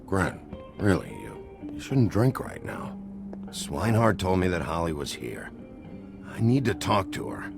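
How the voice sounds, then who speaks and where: Low-voiced, gruff, weary sheriff. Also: male voice, sing-song, a little slow, long pauses, full character voice. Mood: guarded. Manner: terse, blunt. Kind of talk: video game voice acting. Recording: music under the voice.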